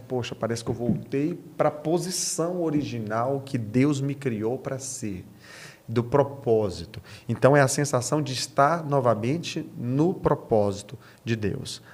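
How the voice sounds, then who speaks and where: Brazilian man explaining scripture. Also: medium pitch. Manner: explanatory, asks and answers own questions, repeats key phrases. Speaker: podcast guest, pastor. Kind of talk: conversational religious teaching.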